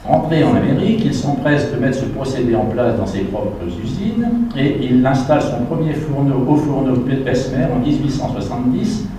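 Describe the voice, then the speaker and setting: A man delivers a history lecture at a middle pitch, reading his prepared text and stopping now and then to point out his slides. He is recorded from a distance in a very echoey lecture hall with clear background noise. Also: animated, moderate pace, hardly any pauses, warm tone. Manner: reads prepared text, adds asides to the audience.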